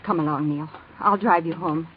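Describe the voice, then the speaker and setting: An actress playing a concerned, doubting sweetheart in an old radio drama, speaking earnestly and hesitantly. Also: female voice, low-pitched, moderate pace, natural voice. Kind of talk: 1940s radio acting. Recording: muffled, noisy old radio recording.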